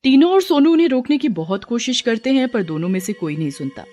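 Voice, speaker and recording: A woman's voice: fairly high pitch, sing-song, quick pace, mellow. Female storyteller with faint music behind. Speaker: story narrator. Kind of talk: scripted narration of a bedtime story.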